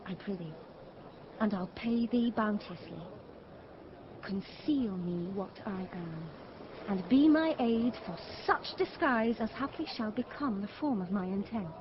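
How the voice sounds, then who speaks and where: An actress playing an earnest, resolute young shipwrecked gentlewoman, speaking formal verse in a Shakespeare radio drama. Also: medium pitch, unhurried, noisy background, natural voice. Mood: earnest resolve. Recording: muffled, faint ambience behind.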